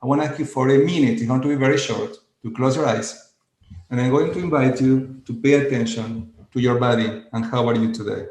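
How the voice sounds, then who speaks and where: Guy leading a breathing exercise on a zoom call, echoey room, calm and slow. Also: medium pitch. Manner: slow, gentle, inviting instructions. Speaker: coach and conference presenter. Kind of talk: guided centering exercise. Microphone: video call. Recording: roomy video call audio.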